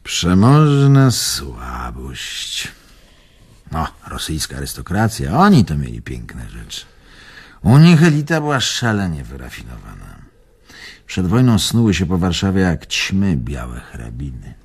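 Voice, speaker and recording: An actor playing an old, carefree Polish antique collector, telling stories expressively in a radio drama. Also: male voice, a bass voice, a little slow, slightly rough, heavily acted. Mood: nostalgic. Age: older. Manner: expressive, storytelling, old-world phrasing. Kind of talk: radio drama acting, reminiscing monologue.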